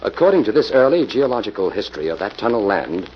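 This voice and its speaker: A man's voice: fairly low pitch, sing-song, fast talker. An actor playing an earnest, methodical male investigator in a golden-age radio drama. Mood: deliberate. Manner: earnest, decisive, explanatory. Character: decisive, methodical male crime-fighting investigator hero. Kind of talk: 1940s radio acting. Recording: muffled, noisy old radio recording.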